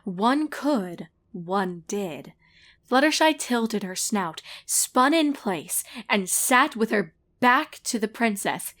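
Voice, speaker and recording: A woman narrates a story from a script. Her voice sits at an average pitch, and she reads with dramatic expression, shaping each phrase like storybook telling. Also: lively intonation, slow pace, fairly bright tone.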